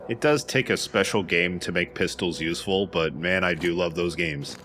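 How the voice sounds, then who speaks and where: A man's voice: low-pitched, moderate pace, pushed and bright, slight background noise. A man chatting casually as a game co-commentator, tossing in quips. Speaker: let's play co-commentator. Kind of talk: casual chat.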